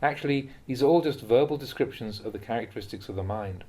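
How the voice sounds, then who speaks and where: A man reads translated Buddhist teachings aloud in a measured, instructive way with clear phrasing. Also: mid-range voice, mellow. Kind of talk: audiobook-style reading of spiritual talks.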